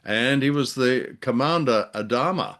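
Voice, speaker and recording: An older man hosting a radio show, chatting casually in a deep voice, with a wry, joking and hesitant manner. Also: measured pace, a bit bright. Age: older, about 70s. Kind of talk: casual chat with joking banter. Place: indoors, on a video call.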